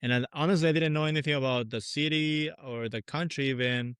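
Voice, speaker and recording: A man from Peru whose first language is Spanish, a guest drummer speaking in an easygoing, soft-spoken way and searching now and then for English words. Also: on the high side, fairly even intonation, brisk, clean tone, no room sound, studio quality. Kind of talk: casual podcast interview.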